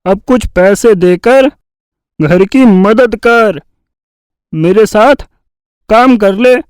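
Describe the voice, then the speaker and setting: Sly, boastful village crook for a cartoon fairy tale male actor, high register, unhurried bragging. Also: clear, resonant tone, no room sound. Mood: coaxing. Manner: bragging, drawn-out cajoling.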